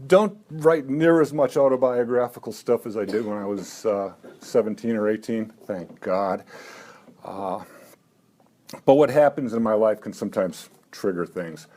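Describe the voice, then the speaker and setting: A man in his seventies at a live poetry reading, talking haltingly between poems with dry, self-mocking asides and lifting his voice for the verse. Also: lowish voice, mellow. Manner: halting talk with many "uh" fillers. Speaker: poet.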